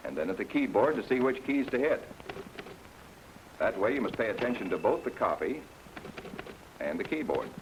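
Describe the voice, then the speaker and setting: An American man gives plain-spoken, explanatory 1940s instructional film narration as a flight instructor, addressing the learner directly. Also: mid-range voice. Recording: noisy 1940s film soundtrack, aircraft drone.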